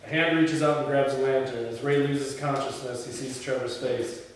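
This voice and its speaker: A man's voice: mid-range voice, steady tone, normal speed. A man reading a film script aloud at an informal table read, with blunt, casual remarks to the crew. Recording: echoey room, distant mic, faint noise.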